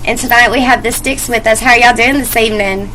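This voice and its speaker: A woman's voice: fairly high pitch, fairly even intonation, quick pace, bright, forceful tone, soft background music, poor audio. A woman hosting a casual group interview, teasing and laughing with her guests. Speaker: interview host.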